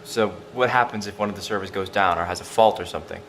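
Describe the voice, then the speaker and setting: A man's voice: low voice, fast, a bit bright, slight background noise. A man playing a doubtful survivor in a bunker, skeptical and probing, in an audio drama. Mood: questioning. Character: doubtful male bunker survivor pressing hard questions.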